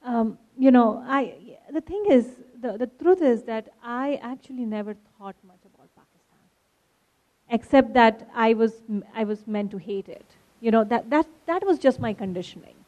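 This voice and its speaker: A woman's voice: on the high side, moderate pace, mellow, lo-fi sound. A woman from India, a filmmaker telling personal stories conversationally as an interview guest. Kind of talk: conversational storytelling in a panel interview.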